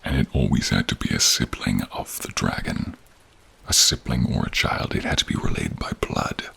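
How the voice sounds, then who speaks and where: A man voicing a protective, caring male dragon with a deep, gravelly, breathy voice, speaking slowly, solemnly and formally in a fantasy audio roleplay. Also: expressive, a bit bright, slight background noise, full character voice. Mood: grave, troubled. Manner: slow, solemn, formal, dramatic pauses. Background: rain and cave ambience.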